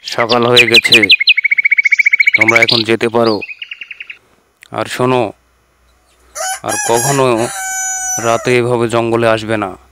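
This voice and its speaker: Man playing a flat-toned stern forest holy man. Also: medium pitch, takes it slowly, pauses often, very noisy. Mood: stern, protective. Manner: admonishing, authoritative. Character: male holy hermit, stern, protective forest sage. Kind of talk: children's fairy-tale cartoon acting.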